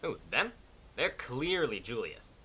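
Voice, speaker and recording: A performer playing a flippant, breezy companion with a lively delivery. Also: mid-range voice, slow pace, over a noisy crowd. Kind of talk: fan audio drama acting.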